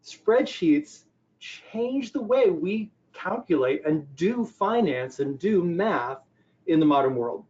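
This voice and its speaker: A man's voice: on the high side, very animated, takes it slowly, a little mellow. An older man giving a conversational presentation on business technology, explaining things by posing questions and answering them. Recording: dull, lo-fi audio.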